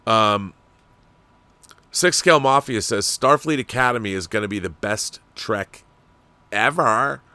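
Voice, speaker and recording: A man with an American accent and a fairly low voice hosts a livestream. He comments in a casual, discursive way, sharing opinions as if thinking aloud to his viewers. The sound is very dry, with no room reflections. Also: unhurried, pauses often, sharp tone, clean tone, no room sound. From Washington state, United States. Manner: conversational and discursive, with rhetorical questions. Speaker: livestream host.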